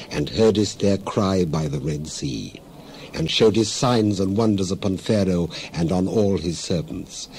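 Male narrator, low and mellow, for solemn dramatized Bible reading; declamatory, measured phrases. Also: slight background noise. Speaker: Bible reader.

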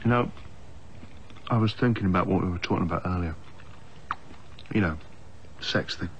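An awkward, evasive English husband, mumbling quietly. His voice is very deep. The sound is a little dull with faint noise. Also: normal speed. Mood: hushed.

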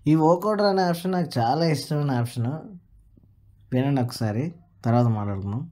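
A man's voice: medium pitch, very animated, brisk, dark tone. A man with a Telugu accent casually demonstrating an app, mixing in English as he comments. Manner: switches between Telugu and English. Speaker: tutorial host.

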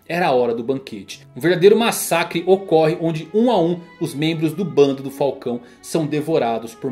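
A man's voice: on the high side, darkish tone. A man with a Brazilian accent telling a story in a solemn, theatrical scripted narration. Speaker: narrator of anime and manga explainer videos. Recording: voice-over with music underneath. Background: music.

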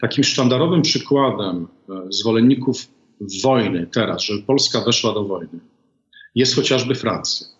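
Deliberate middle-aged man on a video call. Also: mid-range voice. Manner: deliberate, many hesitations. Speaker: guest, former ambassador. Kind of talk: measured political analysis. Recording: echoey, dull video call audio.

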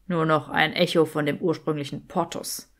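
A woman's voice: sits low, flat delivery, normal speed, voice pushed down, natural voice. A woman voicing a sardonic, grumbling young male wizard in an audiobook, dry and emphatic.

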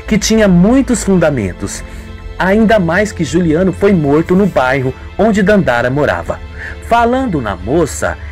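Animated, high-pitched Brazilian man narrating. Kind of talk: dramatic scripted radio soap narration. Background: music.

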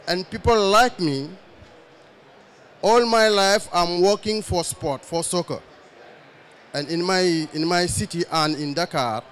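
A man from Senegal addresses a conference panel from the audience. His English is simple and halting. He speaks very slowly, in a somewhat high voice, into a handheld mic in a large pavilion. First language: French. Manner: slow, searching for words in simple English. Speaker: audience member asking a question. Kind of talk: halting spontaneous remarks.

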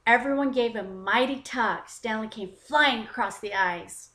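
A woman hosting a children's story time, narrating in an expressive, deliberate read-aloud style. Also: fairly high pitch. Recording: roomy, echoing voice recording.

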